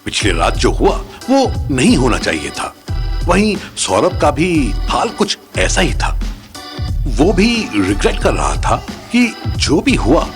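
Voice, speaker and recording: Male narrator, Indian accent, fairly high voice, highly animated dramatic storytelling, music behind. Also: measured pace.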